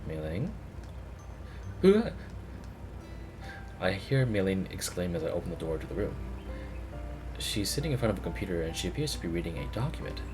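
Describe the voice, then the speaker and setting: Very low-pitched man reading expressively. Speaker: video creator reading game dialogue aloud. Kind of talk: expressive read-along narration.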